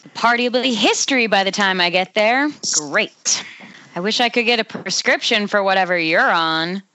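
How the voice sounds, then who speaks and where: Woman playing a sharp, sarcastic, annoyed young coworker. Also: medium pitch, normal speed, lightly acted. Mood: irritated sarcasm. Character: pissed-off, sarcastic young waitress friend. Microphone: Skype call. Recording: dull internet call.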